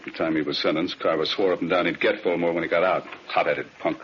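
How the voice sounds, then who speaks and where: A very low-voiced actor as a gruff male police chief from a vintage radio drama. He speaks with terse authority. The old recording is dull, with faint noise. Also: steady tone, quick pace, lightly acted. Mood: terse, commanding.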